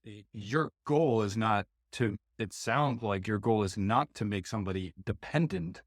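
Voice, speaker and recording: A podcast host, a man with a fairly low voice, thinks aloud in long, searching sentences full of "um" and "right?". The recording is dry and close to the mic. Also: no echo. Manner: rambling, searching for words, many "right?"s. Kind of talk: podcast interview, reflective questioning.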